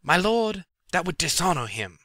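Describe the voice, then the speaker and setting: An actor playing a dutiful, hesitant and deferential servant in a dramatic Shakespeare reading. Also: male voice, high voice, very animated, moderate pace, bright, forceful tone, no echo, heavily acted. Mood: doubtful. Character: dutiful, deferential male servant questioning his master.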